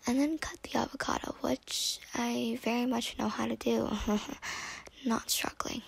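Young woman, amateur cooking vlog voice-over; near-whisper, gravelly fry, airy tone, dry jokes about herself. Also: a little high, measured pace, no room sound, low-quality recording. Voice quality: hushed and breathy, near-whispered. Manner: joking, deadpan self-mocking asides. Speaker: home cooking video host. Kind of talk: self-deprecating voice-over narration. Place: home kitchen.

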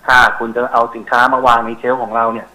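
A man's voice: mid-range voice, little pitch movement, fast, pushed and bright, rough recording. A middle-aged man explaining as an expert guest in a phone interview, often searching for words. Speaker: interview guest, university researcher. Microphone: telephone call.

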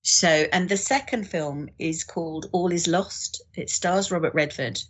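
A woman's voice: fairly low pitch, unhurried, slight background noise. A woman guest on a podcast, talking thoughtfully in a conversational way.

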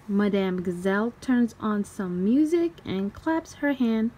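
A woman's voice: mid-range voice, a little slow. A woman reading a children's storybook aloud expressively as a storytime host, acting out the dialogue. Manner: expressive, acts out dialogue and sound effects.

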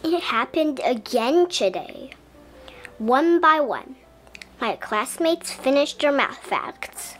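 A woman reading a children's story aloud in an expressive, deliberate storybook style. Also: sits high, soft background music. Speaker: children's book reader. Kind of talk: expressive scripted storybook narration as a young boy.

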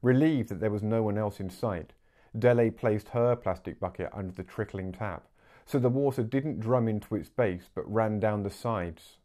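Low-voiced man reading a novel aloud. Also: moderate pace, mellow. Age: 40s. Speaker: book narrator. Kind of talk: scripted audiobook narration. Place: small living room, indoors.

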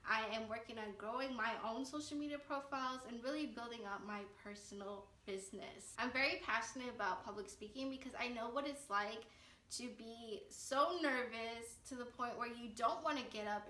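A woman's voice: fairly high pitch, pushed and bright, echoey room, far from the mic. A woman in her late twenties talking to camera in a casual, upbeat and earnest vlog from her living room couch. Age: 20s. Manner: upbeat, earnest, gestures with hands while talking. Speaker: vlogger.

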